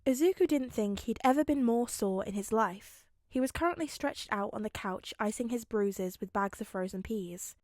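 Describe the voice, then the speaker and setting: A woman with a high voice hosts a fiction reading channel. She moves between expressive storytelling and informal chat with listeners. The sound is close and very dry. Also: moderate pace, hardly any pauses, no room sound. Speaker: podfic reader and channel host.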